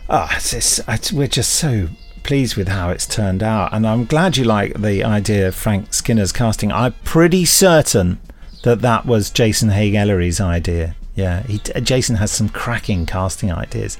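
Gravelly man with dry wit. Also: on the low side, lively intonation, moderate pace. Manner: dry, wry humor. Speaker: podcast co-host. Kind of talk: casual chat, reading listener emails.

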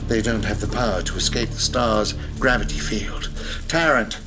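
An actor playing a cold, imperious British spaceship leader in a science fiction audio drama, speaking tersely. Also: male voice, on the low side, normal speed, over music, lightly acted. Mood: urgent, stern.

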